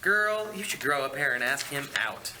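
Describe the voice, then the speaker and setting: A man's voice: medium pitch, expressive, moderate pace, natural voice. Man reading as a sassy, snarky male ghost. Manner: sassy, dry, cutting. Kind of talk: table read of a comedy screenplay. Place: large meeting room. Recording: noisy room microphone.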